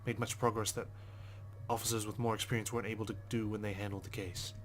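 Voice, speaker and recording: A man's voice: on the low side, flat delivery, fast talker, a bit bright. A man hosting a game playthrough, reading visual novel narration aloud in a brooding, sweary way with casual asides. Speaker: let's play host.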